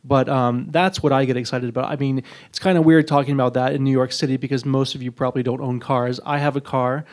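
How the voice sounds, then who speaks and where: A man's voice: mid-range voice, steady tone, quick pace, hardly any pauses, a little mellow. A man speaking conversationally as a panelist, with thoughtful, tentative phrasing, into a handheld microphone. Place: indoor auditorium panel before an audience.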